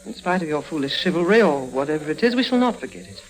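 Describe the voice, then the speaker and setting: A woman's voice: low-pitched, very animated, fast talker, rough recording. A woman playing a genteel, wilful upper-class lady in a vintage radio drama. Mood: coy, insistent. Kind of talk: 1950s radio drama acting.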